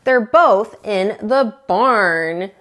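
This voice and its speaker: A woman's voice: medium pitch, lively intonation, very slow, a bit bright. A woman voicing a friendly, curious female cow in a children's storybook, with an encouraging manner.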